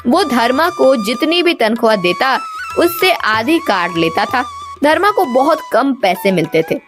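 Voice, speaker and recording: With music behind her, a woman tells a children's story in a fairly high voice. Her scripted narration is expressive, with lively rises and falls. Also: unhurried.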